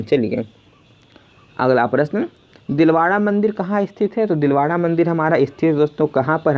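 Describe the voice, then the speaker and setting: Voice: mid-range voice, lo-fi sound. A teacher narrating an exam lesson in question-and-answer form, repeating questions and addressing listeners as friends. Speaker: quiz teacher.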